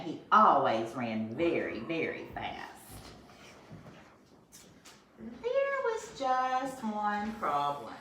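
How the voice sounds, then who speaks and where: An older woman, a first grade teacher, reading a storybook aloud to children with expressive asides, filmed at home on a phone. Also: mid-range voice, slight background noise. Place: home, with a small grandchild nearby. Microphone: phone camera held by her son. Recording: echoey room, voice from a distance.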